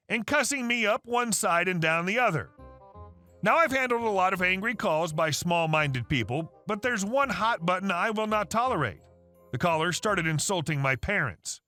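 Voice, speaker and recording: A man reading a first-person story aloud as scripted narration, with a steady read-aloud delivery. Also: high voice. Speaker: narrator of online stories. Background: faint background music.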